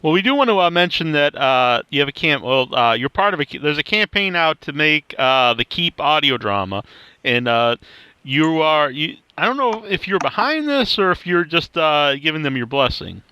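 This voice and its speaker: Bright, clear-voiced male podcast host. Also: fairly high pitch, very animated, normal speed. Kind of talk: casual interview chat.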